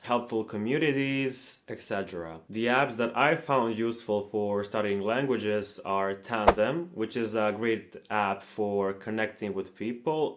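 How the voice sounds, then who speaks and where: A young man from Montenegro, in English, hosting a scripted, earnest explainer on learning languages. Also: medium pitch. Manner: earnest, plain, slightly formal non-native phrasing. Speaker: language-learning channel host.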